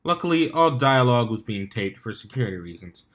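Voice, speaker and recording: Male fan fiction reader, mid-pitched, for scripted story narration. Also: expressive, fairly quick.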